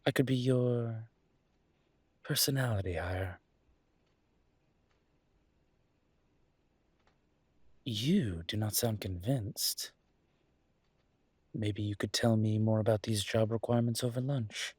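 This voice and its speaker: Man playing a low, husky, charming young mafia boss. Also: unhurried, pauses often, darkish tone, dry recording. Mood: teasing, persuasive. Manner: playful, smooth-talking, teasing. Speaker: voice actor in a roleplay audio. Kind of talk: intimate audio roleplay acting.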